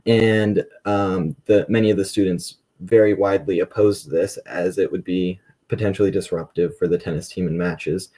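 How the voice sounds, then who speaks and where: A man's voice: sits low, steady tone, moderate pace, hardly any pauses, dark tone. A young man giving conversational answers as a student guest on an interview panel. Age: young adult. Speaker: student school board member, panel guest.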